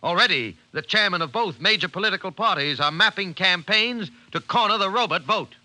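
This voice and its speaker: A man's voice: high-pitched, lively intonation, brisk, pushed and bright, full character voice. An actor playing a grave, urgent male newscaster in a 1950s radio drama. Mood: grave, dramatic.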